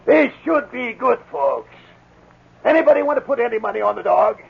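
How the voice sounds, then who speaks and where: An actor playing a taunting frontier villain with a sly, mock-polite manner in a 1940s radio drama. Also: male voice, very high-pitched, animated, moderate pace, vocal fry, a little hiss or hum, heavily acted. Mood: taunting, gleeful. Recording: tinny phone-like old radio recording.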